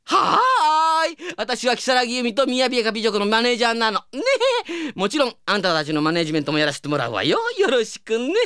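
A woman's voice: fairly high pitch, expressive, a little fast, few pauses, fairly bright tone, clean tone, dry recording, studio quality. A woman playing a gushing, pushy, eccentric talent manager in an anime comedy drama, with effusive, singsong exclamations.

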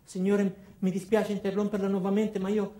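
A man's voice: sits high, completely flat delivery, normal speed, dark tone, natural voice. An actor playing a meek, apologetic ordinary man, anxiously polite, stammering and deferential. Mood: hesitant. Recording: roomy, echoing theatre sound.

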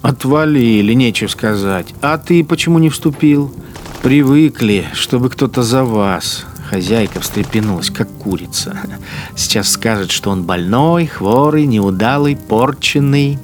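A man's voice: medium pitch, lively intonation, a little slow, lightly acted. A male actor plays a wartime collaborator policeman with a mid-range voice. He is sneering and cruel, toying with his victims in a brooding dramatic monologue. Music runs under his words. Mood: mocking. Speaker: actor in a radio play. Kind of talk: dramatic first-person interior monologue.

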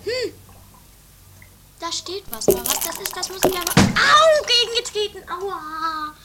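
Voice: very high-pitched, expressive, measured pace. A performer voicing Doris, a dramatic, boastful and scatterbrained woman, in an improvised trash comedy radio play. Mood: smug, scatterbrained. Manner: rambling, muddled, self-satisfied. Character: Doris, dramatic, scatterbrained, boastful sister, self-styled immortal. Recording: noisy amateur 1980s tape.

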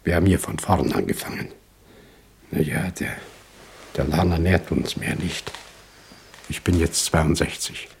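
A man acting a frightened, weary shopkeeper in his sixties in a German crime radio play, halting and apologetic. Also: a bass voice, vocal fry, darkish tone, a little hiss or hum, exaggerated voice. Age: 60s. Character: frightened, weary 62-year-old immigrant shopkeeper and witness.

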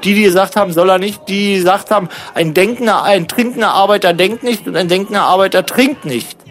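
An actor playing an opinionated, teasing choir enthusiast who muses in mock-learned fashion in a German radio play. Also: male voice, high voice, a little fast, fairly bright tone. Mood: musing. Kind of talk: conversational scripted radio-play dialogue in German. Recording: music bed behind the voice.

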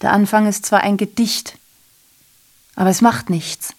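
An actress playing a female messenger in a literary radio drama monologue, recounting atrocity with biting irony in a deliberate, declamatory way. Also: medium pitch, fairly even intonation. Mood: wry, deliberate.

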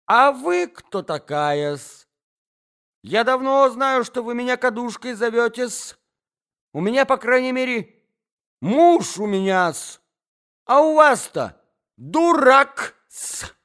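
A man doing a shrill squealing provincial lady. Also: sing-song, slow pace, a bit bright, clean tone, heavily acted. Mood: shrill outrage. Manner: squealing, indignant. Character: shrill squealing middle-aged provincial gentlewoman.

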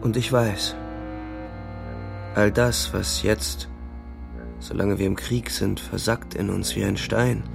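An actor playing a weary young German frontline soldier, narrating the war in a grim, terse literary radio-drama style. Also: male voice, lowish voice, steady tone, slow, gravelly, pauses often, music in the background, heavily acted. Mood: bleak, bitter.

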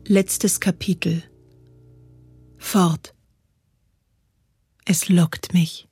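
A woman reciting poetry dramatically, with expressive, deliberate phrasing. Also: fairly low pitch, husky. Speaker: poetry reader. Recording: dry studio recording over music. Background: music.

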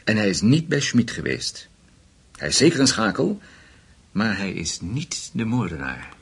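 An actor playing a measured, discreet senior German investigator in a Dutch radio play, speaking in a deliberate, formal way. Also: male voice, fairly low pitch, sing-song, gravelly, fairly bright tone, husky, exaggerated voice. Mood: composed, decisive.

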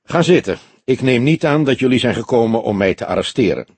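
A man's voice: mid-range voice, expressive, fairly quick, a little mellow, husky, no room sound. A man voicing a stout, wealthy crime suspect in his late forties in an audiobook, polite and self-assured. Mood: polite, genial. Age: 40s.

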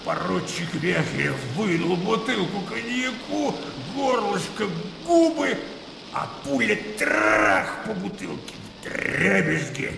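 Blustering old colonel, creaky and high-pitched. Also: male voice, very animated, takes it slowly, heavily acted. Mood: animated, jovial. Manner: blustering, exclamatory storytelling. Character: boisterous old colonel, garrulous war-story raconteur. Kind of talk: radio drama acting. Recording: roomy reverberant sound, audible background noise.

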